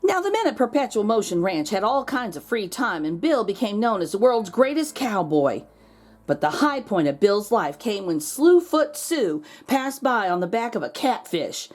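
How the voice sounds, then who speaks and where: A woman reading a children's picture book aloud as host, expressive, drawing out words for drama. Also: medium pitch, moderate pace. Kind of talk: animated storybook read-aloud for children.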